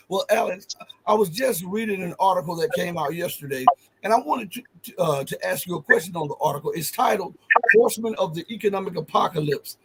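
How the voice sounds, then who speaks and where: High-voiced male live stream host. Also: brisk, a loud crowd, low-quality recording. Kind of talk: live stream interview hosting.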